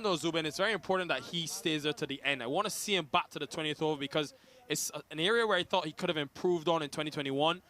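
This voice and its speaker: Man, cricket commentator, bright forceful tone, slightly high voice, energetic play-by-play.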